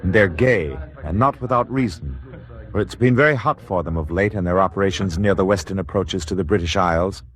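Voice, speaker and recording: A man playing an authoritative documentary announcer in a 1940s radio drama, measured, formal and terse. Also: low voice, close to an everyday voice. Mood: crisp, wry. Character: authoritative male documentary announcer describing war scenes. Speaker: second narrator.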